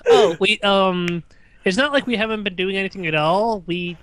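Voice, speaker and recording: A man's voice: sits high, normal speed, a bit bright. A young man co-hosting in casual banter, with a teasing, wry manner. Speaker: co-host.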